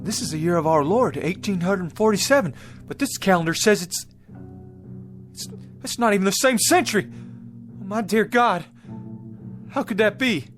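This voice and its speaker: A bewildered pioneer man out of the 1840s in a radio drama. His voice sits on the high side for a man, and he speaks haltingly, repeating himself in desperate agitation. Faint music plays behind him. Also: lively intonation. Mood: stunned disbelief.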